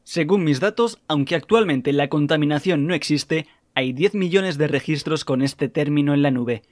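An actor playing a polite, helpful AI virtual assistant in an audio drama, speaking formally and in measured phrases. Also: male voice, on the high side, dry recording, clean studio sound, natural voice. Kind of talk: scripted audio drama dialogue.

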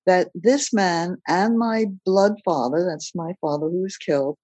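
An older woman from Belgium, recounting her life story as a podcast guest in a measured, plain-spoken way. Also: fairly low pitch, darkish tone, no echo. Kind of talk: personal storytelling in a podcast interview.

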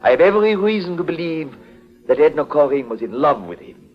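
An actor playing a polite, anxious foreign exporter in a 1940s radio detective drama, speaking formally and hesitantly. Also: male voice, on the high side, very animated, brisk. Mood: hesitant, pleading. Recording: dull old 1940s radio broadcast.

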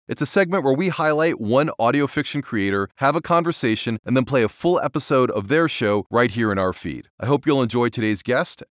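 A man's voice: mid-range voice, no echo. Male podcast host, dry close sound. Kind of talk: podcast hosting.

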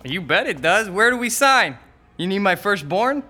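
Young male as eager, jittery boyfriend in audio drama. Slightly high, bright and forceful, babbles to please. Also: very animated, moderate pace, soft background music, exaggerated voice. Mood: elated. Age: young adult. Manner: babbling, ingratiating, repeats himself. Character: eager, nervous young male comedian, half of a couple. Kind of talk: modern audio drama acting.

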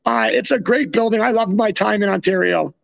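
A man's voice: high-pitched, fairly quick, gravelly, crowd noise. A young man co-hosting a podcast as a former goalie, chatting and telling slangy anecdotes on a dull, lo-fi recording. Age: young adult. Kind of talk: casual chat, anecdotes.

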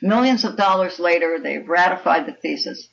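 Older lady author, creaky voice, strong opinions, sounds distant and kinda dull. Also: on the low side, normal speed, fairly bright tone. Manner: opinionated, emphatic. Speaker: author guest. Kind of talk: conversational interview. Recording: muffled, distant microphone.

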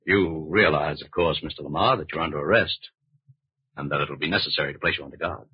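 An actor playing a firm, courteous FBI agent with a clipped, official manner in a 1940s radio drama. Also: male voice, very deep voice, fast, husky, no echo, heavily acted. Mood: businesslike. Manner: clipped, official, matter-of-fact. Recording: muffled old radio broadcast recording.

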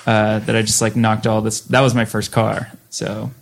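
Man, 30s, gravelly low-key guest, loose rambling delivery. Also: moderate pace. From Western Massachusetts, US. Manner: relaxed, rambling, many "like" fillers. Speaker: record label co-founder, guest. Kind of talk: casual interview chat.

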